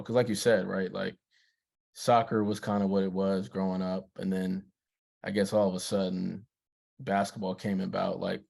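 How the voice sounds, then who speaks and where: A man hosting a podcast, asking relaxed, thoughtful interview questions. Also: low-pitched, gravelly. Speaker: podcast host.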